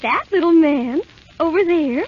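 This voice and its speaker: A sweet young fairy-tale princess speaks in a very high, girlish voice with unhurried, lilting swoops. She is innocent, polite and gushing, on an old muffled radio drama recording. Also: expressive. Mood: delighted. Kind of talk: 1930s radio drama acting. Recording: muffled vintage radio recording, faint hiss.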